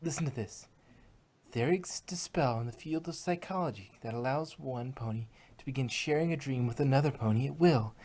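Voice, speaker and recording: Picture an earnest, bookish unicorn mare played by a woman in a cartoon fan drama. Her voice is husky and unpolished in tone, explaining things seriously. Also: rumbling low, brisk, warm tone. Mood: earnest, uneasy. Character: studious, earnest female purple unicorn pony. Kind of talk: fan fiction audio drama reading.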